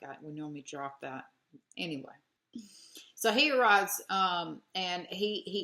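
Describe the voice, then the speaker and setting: A woman's voice: fairly low pitch, a bit bright. A young woman, telling a history story in a chatty, rambling way as a beauty vlogger. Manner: chatty, rambling, many asides with "like" and "you know". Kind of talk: casual storytelling while doing makeup.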